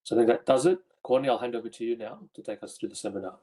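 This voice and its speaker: A man's voice: medium pitch, racing, warm tone. Casual man hosting a seminar. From Australia. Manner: casual, filler-heavy. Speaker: seminar host. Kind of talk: housekeeping announcements.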